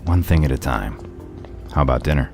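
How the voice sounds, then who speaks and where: Radio play role: man voicing a gruff wolfish sheriff, extremely deep, heavy gravel, terse and dryly teasing. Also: fairly quick, a little mellow, soft background music. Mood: gruff concern. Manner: laconic, dry teasing. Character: gruff, sardonic male wolf lawman, loyal underneath. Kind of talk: audio drama dialogue.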